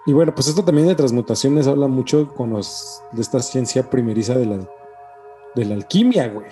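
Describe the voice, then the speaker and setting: A man's voice: medium pitch, lively intonation, fairly quick, mellow, faint music behind. A man with a Mexican accent co-hosting a podcast, talking casually in slang and often tagging questions onto his statements. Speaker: podcast co-host. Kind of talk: casual conspiracy podcast chat.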